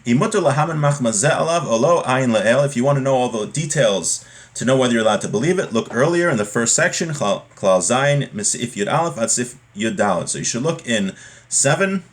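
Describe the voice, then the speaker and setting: A man's voice: mid-range voice, lively intonation, a little fast. A man giving a text-study lesson as a religious teacher, reading passages and explaining them, often repeating and rephrasing his points.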